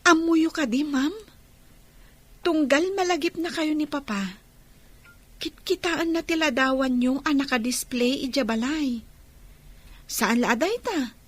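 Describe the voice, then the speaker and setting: High-voiced, cheerful young daughter. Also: female voice, measured pace, pauses often. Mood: earnest, affectionate. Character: young adopted daughter, a cheerful trainee, excited and affectionate. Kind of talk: Philippine radio drama acting.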